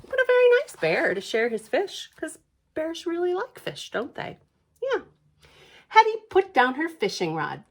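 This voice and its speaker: High, very animated woman reading to children. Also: unhurried. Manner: expressive, questioning, sing-song emphasis. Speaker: library storytime reader. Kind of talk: children's read-aloud with asides to listeners.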